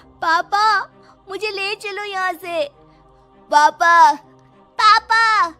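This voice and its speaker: Stubborn little cartoon girl, super high bright voice, kinda whiny. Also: slow pace, soft background music, exaggerated voice. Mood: pleading. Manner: whiny, insistent, childlike. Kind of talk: Hindi cartoon story acting.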